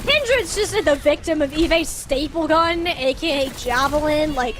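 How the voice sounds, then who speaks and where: A woman's voice: high voice, lively intonation, a little slow, background noise. A woman doing live play-by-play commentary of a video game match, in a playful, colloquial, exclamatory way. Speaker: esports caster.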